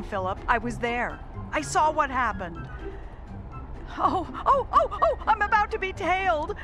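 An actress playing a frantic, exasperated museum assistant in a comic audio drama, melodramatic and scolding. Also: female voice, extremely high pitch, exaggerated voice.

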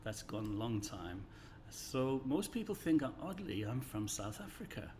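Man in his 60s telling stories, measured. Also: medium pitch, sing-song, dark tone, a little hiss or hum. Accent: neutralised English. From England, Midlands. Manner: friendly, anecdotal, measured. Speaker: guest. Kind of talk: conversational interview storytelling. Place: office.

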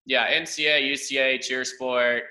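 A man's voice: medium pitch, steady tone, normal speed, pushed and bright, poor audio. A young man from Romania, a native Romanian speaker, telling his story as a guest in a casual interview. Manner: earnest, thankful, long winding answers. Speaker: guest, cheer assistant coach. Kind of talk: casual interview over a livestream.

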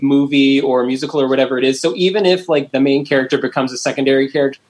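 A man co-hosting a casual podcast chat, speaking in a relaxed way with plenty of ums and likes. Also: medium pitch, rapid-fire. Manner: chatty, many ums and likes. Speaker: podcast co-host.

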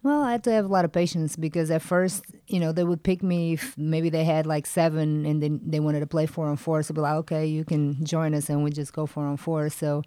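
Flat-voiced Portuguese-accented woman. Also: low voice, a little fast, few pauses, no echo. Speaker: guest, retired basketball player. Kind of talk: interview answers.

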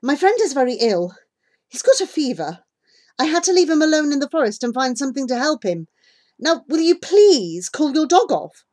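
A woman acts out a young child traveller from an audiobook adventure. The child is desperate and earnest, pleading urgently. The voice sits higher and pushes hard on the words. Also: expressive, takes it slowly. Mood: defensive, urgent.

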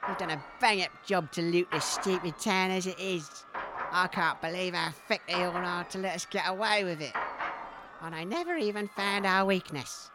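A woman's voice: on the low side, moderate pace, lightly acted. A woman voicing a scheming, crude mother of two sons who swears freely. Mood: gloating. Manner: blunt, crude, cheerfully sweary. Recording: background noise.

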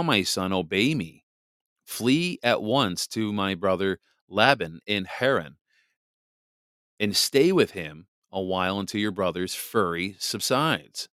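A man's voice: on the low side, a bit bright, no room sound, studio quality. A man reading the part of a worried mother urging her son to flee.

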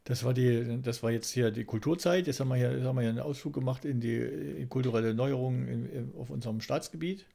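A man's voice: mid-range voice, fast talker, creaky, hardly any pauses, warm tone. A man co-hosting a casual banter podcast, talking in a dry, ironic, rambling way. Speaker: podcast co-host.

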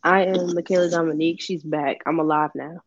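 A woman from New Jersey co-hosting a podcast, hoarse from a recent illness, talking in an easygoing, slangy way. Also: low-pitched, fairly even intonation, brisk, no echo, dull audio. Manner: slangy, easygoing, laughs often. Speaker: podcast co-host. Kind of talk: casual chat.

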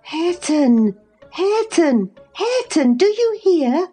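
A woman's voice: extremely high pitch, sing-song, takes it slowly, mellow, faint music behind, pitched above the performer's own voice, exaggerated voice. A woman voicing a petulant, pleading young woman from a novel, earnest and insistent.

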